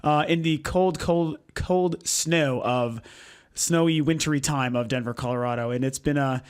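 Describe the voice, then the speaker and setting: A hoarse young man from the United States who is losing his voice, hosting a casual, rambling video game vlog with lots of ums and uhs. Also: fairly high pitch, a little slow. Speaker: video game vlogger, channel host. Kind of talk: casual opinionated vlog talk. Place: home recording setup.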